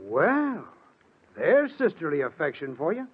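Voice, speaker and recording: A jovial young husband in an old radio drama. His high voice bounces around with teasing affection. It comes through a tinny old recording. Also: wildly swooping pitch, unhurried, breathy edge, full character voice. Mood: breezy, teasing. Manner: breezy, teasing. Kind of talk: old-time radio melodrama acting.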